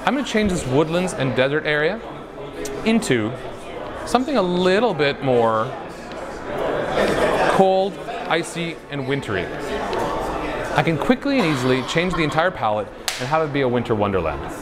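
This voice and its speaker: A man's voice: on the high side, big pitch swings, moderate pace, pauses often. A man giving a live product demonstration in an upbeat, playful showman's manner. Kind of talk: live stage demo presentation. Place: busy trade show floor. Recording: loud crowd noise behind. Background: convention crowd.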